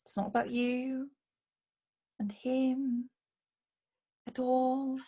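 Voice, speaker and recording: A woman's voice: sits high, little pitch movement, drawn-out words, warm tone, exaggerated voice. A woman playing a sassy, sarcastic lady's maid, loyal but teasing, in a radio play. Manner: sarcastic, needling.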